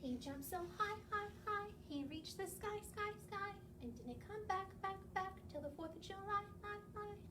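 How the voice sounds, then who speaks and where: A woman's voice: very high voice, normal speed, hardly any pauses. A woman hosting a library story time, reading aloud and singing rhymes for children with playful questioning asides to young listeners. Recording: distant mic with clear background noise.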